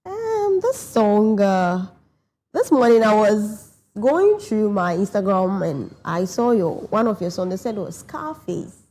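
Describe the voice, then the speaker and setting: A woman hosting a morning show, asking chatty questions with many quick "okay"s. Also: mid-range voice, very animated, dark tone. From Ghana. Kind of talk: casual interview.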